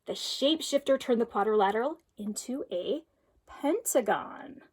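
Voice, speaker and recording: A woman reading a children's storybook aloud expressively, with deliberate, emphatic phrasing, like a teacher for pupils. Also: on the high side, animated, a little mellow, husky.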